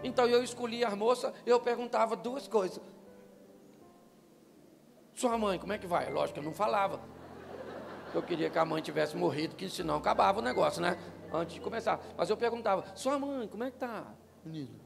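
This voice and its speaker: Joking older Brazilian pastor, gravelly voice. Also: male voice, high voice, a little fast. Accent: Brazilian Portuguese. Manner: joking, animated, asks the crowd questions. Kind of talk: humorous marriage talk to couples. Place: church stage, large hall. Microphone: handheld microphone. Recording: live church hall with long reverb and faint music.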